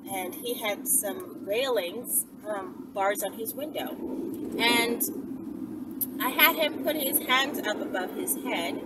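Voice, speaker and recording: A woman in her thirties chats candidly to camera while driving, musing aloud with halting, emphatic phrasing. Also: fairly high pitch. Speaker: vlogger. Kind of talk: casual candid solo chat to camera. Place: inside a moving car. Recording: distant mic, loud vehicle road noise. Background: loud vehicle noise.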